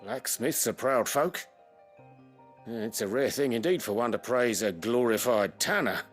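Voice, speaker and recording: A man's voice: mid-range voice, a little slow, close to an everyday voice. Actor as humble, wry, chatty male craftsman. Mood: grateful, obliging. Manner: self-deprecating, conversational. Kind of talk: video game voice acting. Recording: game music under the voice.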